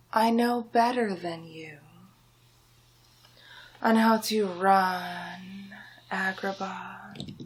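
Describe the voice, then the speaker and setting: A smug, scheming royal vizier played by a woman in an ASMR villain roleplay, hungry for the throne. The delivery is extremely slow and deliberate, every phrase laid out with silky, theatrical menace. The voice is soft and breathy, often sinking into a whisper. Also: medium pitch. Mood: condescending, ambitious.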